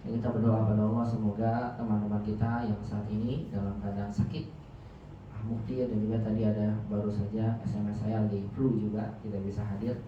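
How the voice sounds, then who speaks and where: A man's voice: fairly low pitch, level tone, fairly quick, slight background noise. Man teaching in an echoey mosque. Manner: formal, recites Arabic prayers between Indonesian. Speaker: Arabic teacher. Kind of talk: religious class opening with prayers. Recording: echoey room, distant mic, muffled.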